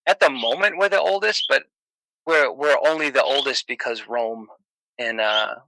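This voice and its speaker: A man's voice: mid-range voice, moderate pace, bright, forceful tone, a little hiss or hum, poor audio. A man from Massachusetts, chatting casually on a podcast, joking and laughing often, with many fillers. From Massachusetts, USA. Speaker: podcast guest. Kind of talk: casual chat.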